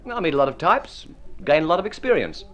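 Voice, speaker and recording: A man's voice: on the high side, sing-song, fast, a bit bright. An actor playing a wry, practical, struggling male writer with a teasing, sardonic manner in an old radio drama. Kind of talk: vintage radio drama acting.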